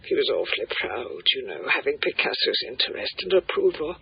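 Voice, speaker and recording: A woman's voice: very deep voice, very animated, a little fast, vocal fry, breathy edge, exaggerated voice. An older woman playing an imperious, coolly condescending lady in a radio drama, with arch delivery. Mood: probing. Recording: dull, noisy radio drama phone scene.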